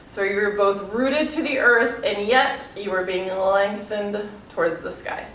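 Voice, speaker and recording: A woman in her thirties guiding a yoga class in a calm, unhurried way, sounding a little congested and nasal. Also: mid-range voice, slight background noise. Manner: calm, unhurried instruction with soft trailing phrases. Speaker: yoga teacher. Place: room with yoga mat, indoors. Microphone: far camera. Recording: echoey room, mic at a distance.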